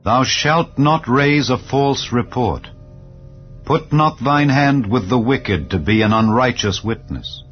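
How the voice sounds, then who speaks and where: A man narrating scripture in a solemn, measured, declarative manner, as in a dramatized Bible reading. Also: medium pitch, animated, muffled recording. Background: clear background music.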